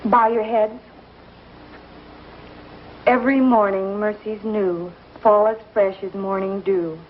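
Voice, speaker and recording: Composed frontier schoolteacher played by an actress, mid-pitched. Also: female voice, steady tone, takes it slowly, a little hiss or hum, lightly acted. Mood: reverent. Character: gentle, proper frontier schoolteacher, composed and devout. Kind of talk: 1950s television Western acting. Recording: dull old TV soundtrack with music.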